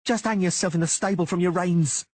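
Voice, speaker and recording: A man voicing a small rat-faced thug who hisses a menacing whisper, pitched high above his own voice. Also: fairly even intonation, fairly quick, darkish tone, dry recording.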